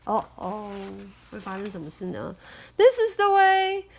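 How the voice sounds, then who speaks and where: A woman's voice: medium pitch, wildly swooping pitch, unhurried, slight background noise. A native Mandarin-speaking woman reading a picture book aloud expressively, with chatty asides as a parent would. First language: Mandarin Chinese.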